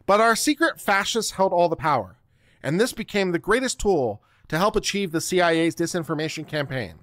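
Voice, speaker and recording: A middle-aged man giving a theatrical, deliberate dramatic reading of a written text, close on the microphone. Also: sits high, lively intonation, a bit bright, dry recording. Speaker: host reading a document aloud. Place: small home studio room. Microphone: close microphone on a boom arm.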